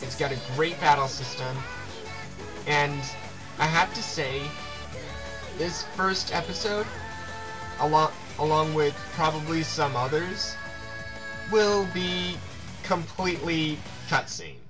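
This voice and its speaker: A man's voice: on the high side, slow pace, long pauses, over music, rough recording. A man hosting a video game playthrough with casual commentary. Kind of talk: casual let's play commentary.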